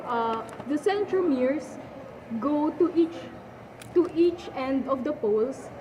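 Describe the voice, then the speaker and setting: Slow, high-voiced woman explaining over noise. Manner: halting, explanatory. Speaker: student presenter playing a doctor. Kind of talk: scripted explanation in a biology puppet show. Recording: clearly noisy amateur recording.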